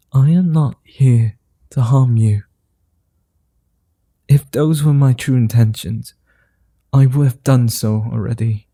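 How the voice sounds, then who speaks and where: A man playing a slow, dark-voiced courteous vampire. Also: medium pitch, slightly rough, no echo. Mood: reassuring. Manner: formal, old-fashioned phrasing with soft chuckles. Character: gentlemanly male vampire, courteous and amused. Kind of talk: ASMR roleplay.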